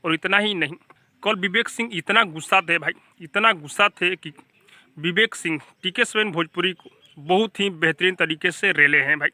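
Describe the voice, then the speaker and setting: Bright, high-voiced man with Bhojpuri accent. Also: normal speed, no room sound. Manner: emphatic, repeatedly addressing viewers as brother. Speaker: YouTube channel host. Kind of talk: informal gossip news commentary to camera or voice-over.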